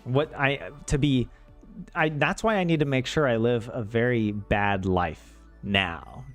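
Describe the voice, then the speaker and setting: A man's voice: medium pitch, a little slow, faint music behind. A man from the American South chatting casually on a livestream in a rambling, self-deprecating, joking way. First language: English. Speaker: streamer learning Finnish.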